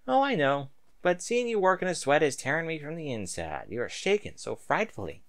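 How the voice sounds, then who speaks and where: Man putting on a high-pitched doting pony wife. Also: big pitch swings, a little fast, fairly bright tone. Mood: worried. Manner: affectionate, folksy endearments. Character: sweet, doting young pony wife and mother.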